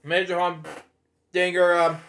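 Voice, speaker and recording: A man with a fairly high voice reads a children's story aloud at a slow pace. He stumbles and halts over phrases, drifting into casual, rambling asides as he hosts. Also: level tone, creaky, fairly bright tone. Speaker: host reading bedtime stories.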